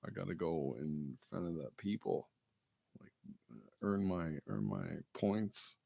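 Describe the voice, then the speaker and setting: A man with a gravelly baritone, rambling through a late-night solo broadcast with mumbled, self-deprecating asides. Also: slow pace, warm tone, no room sound.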